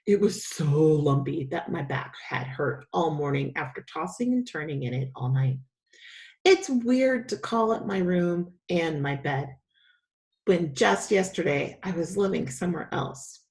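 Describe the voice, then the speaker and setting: A woman's voice: fairly low pitch, darkish tone. A woman teacher reading a children's story aloud with expression.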